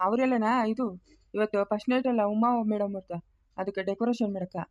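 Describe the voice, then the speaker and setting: A woman doing a polite, rushed male workman. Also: medium pitch, a little mellow, highs cut off, pitched below the performer's own voice, close to an everyday voice. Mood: deferential. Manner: polite, deferential. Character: deferential male workman delivering furniture.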